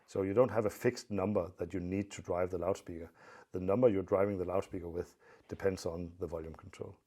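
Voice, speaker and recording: Deep, mellow-voiced man explaining technical matters. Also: steady tone, husky. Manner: measured, explanatory, matter-of-fact. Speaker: audio expert presenter.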